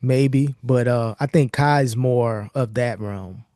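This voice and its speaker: Male podcast host with a warm, relaxed tone and dry sound, explaining casually in easygoing slang. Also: mid-range voice, slow pace, no echo. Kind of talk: casual chat and commentary on news.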